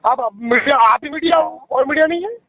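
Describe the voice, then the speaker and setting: A man talking defensively and haltingly in a phone conversation. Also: extremely high pitch, sharp tone, no echo. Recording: dull lo-fi phone call recording.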